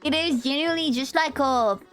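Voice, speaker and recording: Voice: high-pitched, a little fast, a bit bright, soft background music. A young adult VTuber streamer with a Romanian accent, chatting with viewers in a goofy, rambling, playful way. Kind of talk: casual live-stream chat.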